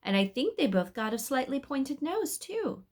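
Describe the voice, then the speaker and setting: A woman's voice: high-pitched, sing-song, normal speed, voice pushed up. A woman reading the lines of a thoughtful mother in a storybook. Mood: pondering. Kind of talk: storybook dialogue read aloud.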